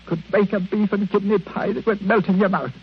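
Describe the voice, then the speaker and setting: A man's voice: high-pitched, steady tone, fast talker, husky. An actor playing a whining, miserly old man who blubbers self-pitying complaints in a vintage radio drama. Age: older. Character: whining, miserly old retired husband, aggrieved and grasping. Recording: dull, muffled old radio recording.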